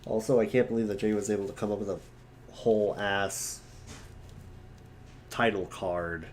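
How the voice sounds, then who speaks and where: A man chatting casually in an animated way between parts of an amateur reading. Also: fairly low pitch, normal speed, a little hiss or hum.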